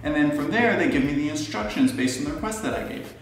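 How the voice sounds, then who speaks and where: A man's voice: fairly high pitch, expressive, fast talker. An American man in his twenties giving an instructional explanation to camera as a student pilot, recorded in a living room. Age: 20s. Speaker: student pilot presenting a tutorial. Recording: roomy, echoey, distant, faint noise.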